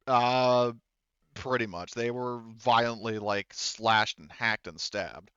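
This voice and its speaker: Male game master, smooth clear tone, informal and sweary, dry but slightly dull recording. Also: medium pitch, slow pace, bright, forceful tone, no echo. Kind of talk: casual improvised tabletop game narration.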